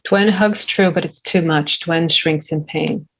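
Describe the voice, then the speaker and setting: A woman reading stage directions aloud at a table read. Her voice is slightly low, steady and plain. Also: a little slow, low-quality recording.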